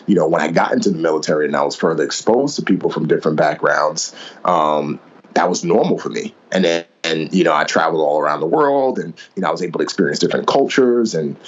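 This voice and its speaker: A man's voice: extremely low pitch, fast, lo-fi sound. A man who grew up in the Bronx, answering interview questions in a thoughtful way, often repeating words as he thinks. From New York City (Bronx). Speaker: podcast guest, former Navy SEAL and author.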